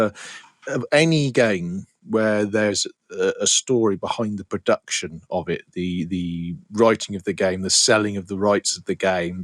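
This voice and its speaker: A man's voice: fairly low pitch, no echo, studio quality. A middle-aged man hosting a podcast in casual chat, rambling with many restarts. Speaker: podcast host.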